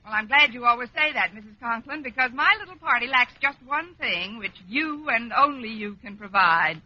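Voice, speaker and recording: A dry, wisecracking American schoolteacher in an old radio comedy. Her voice is fairly high and very crisp and bright, on a slightly muffled vintage recording. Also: expressive, normal speed, exaggerated voice. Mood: politely hopeful. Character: wry, quick-witted single schoolteacher hosting dinner. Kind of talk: 1950s American radio sitcom acting.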